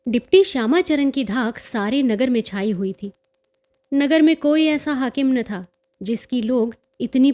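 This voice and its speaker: Female storyteller, notably high-pitched voice, scripted audiobook-style reading of literary fiction. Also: a little mellow. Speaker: storyteller and channel host.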